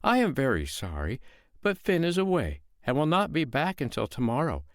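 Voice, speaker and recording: A man voicing a calm, shrewd foster mother, talking in a sweetly sly, coaxing way. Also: mid-range voice, normal speed, no echo, clean studio sound, pitched above the performer's own voice.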